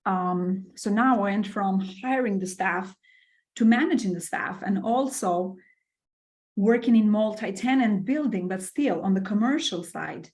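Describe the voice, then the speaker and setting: A woman's voice: medium pitch, measured pace, darkish tone. A middle-aged woman from Albania, a podcast guest telling her career story with enthusiasm, using lots of fillers and restarts. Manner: enthusiastic, with many fillers and repeated words. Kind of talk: casual interview storytelling.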